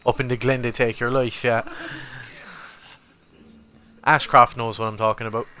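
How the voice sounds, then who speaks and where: A man's voice: mid-range voice, measured pace. An Irish man from Cork chatting casually on a live stream, swearing freely and laughing often. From Ireland. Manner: relaxed, profane, laughs often. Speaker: gaming streamer.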